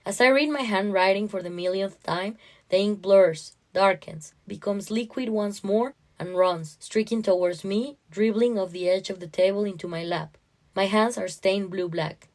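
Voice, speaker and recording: A woman's voice: medium pitch, normal speed. Woman narrating a literary short story. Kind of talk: scripted first-person literary narration with acted dialogue.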